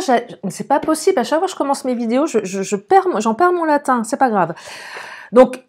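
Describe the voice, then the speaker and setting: A woman with a fairly high voice, a little hoarse. She hosts an informal love tarot reading, speaking straight to her viewers and thinking aloud as she interprets the cards. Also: darkish tone. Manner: chatty, thinking aloud, addressing viewers directly. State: slightly hoarse. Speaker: online love card-reading guide and host.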